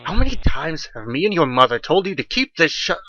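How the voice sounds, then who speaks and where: A man's voice: a little high, big pitch swings, fairly quick, sharp tone, muffled recording, faint music behind, voice pushed up. A man voicing a middle-aged father in an audiobook, irritable, dismissive and exasperated. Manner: exasperated, complaining. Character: grumpy forty-six-year-old father, irritable and dismissive.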